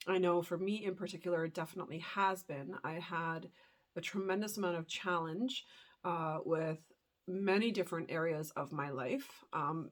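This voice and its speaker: Congested woman musing to camera. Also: on the low side. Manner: earnest, musing, many fillers. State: congested from a cold. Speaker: spiritual YouTuber. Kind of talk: reflective vlog talk to camera.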